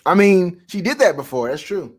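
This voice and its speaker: A man with a high voice and lively, swooping intonation banters casually as a livestream co-host. He is emphatic and teasing, often repeating a word to drive it home. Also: normal speed, slightly rough. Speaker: co-host of a fan debate livestream.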